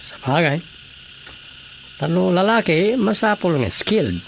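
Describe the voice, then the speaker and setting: An actor playing a talkative, easygoing, sympathetic village councilor in a radio drama comedy, with an Ilocano Filipino accent. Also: male voice, high-pitched, animated, unhurried, vocal fry, a little hiss or hum, heavily acted. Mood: curious, chatty.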